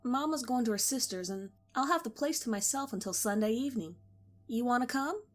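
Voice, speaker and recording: A woman's voice: fairly high pitch, lively intonation, a little fast, pitched above the performer's own voice. A protective teenage girl who confides and coaxes in a lilting voice, as a woman reading the story plays her. Her tone is lifted a little above the narrator's, with faint music in the background. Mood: coaxing. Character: cautious teenage girl friend, protective and sly.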